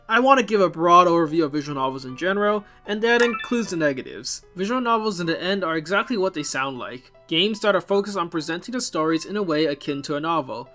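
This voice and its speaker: A man with a fairly high voice delivers scripted video essay commentary over music. His pitch moves around a great deal as he explains things, and his phrasing is informal and opinionated. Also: sharp tone. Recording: music behind the voice.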